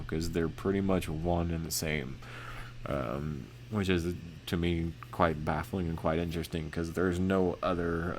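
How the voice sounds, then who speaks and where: A man teaching forex chart analysis in a casual, monotone way with lots of fillers and restarts. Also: sits low, slight background noise. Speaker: forex trading educator.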